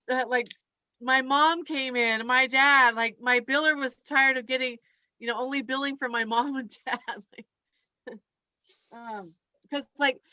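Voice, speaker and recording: A woman performs loose, conversational stand-up in a bright, forward voice. She talks haltingly, drifting between thoughts and giggling at her own remarks. The sound is dry and slightly dull, like a lo-fi recording. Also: sits high, no echo. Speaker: stand-up comedian and chiropractor. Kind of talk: rambling conversational stand-up set.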